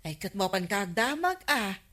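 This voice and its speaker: A nosy, teasing village neighbour in an Ilocano radio comedy drama. Her pitch swoops about in an animated, prodding way at a middling female height, with an Ilocano accent. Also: measured pace.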